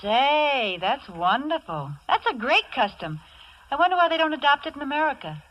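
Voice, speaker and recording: An actress playing a soft-hearted, wisecracking Broadway chorus girl in brassy, slangy 1940s radio style, on a vintage recording. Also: sits high, big pitch swings, normal speed, highs cut off. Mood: softened, friendly. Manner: wry wisecracks in streetwise slang.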